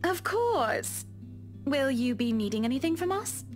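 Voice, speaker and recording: High-voiced, haughty wealthy wife. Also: female voice, sing-song, unhurried. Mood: haughty, breezy. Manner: haughty, breezy, polished. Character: wealthy, imperious, genteel wife buying a mansion. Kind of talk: visual novel voice acting. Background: faint music.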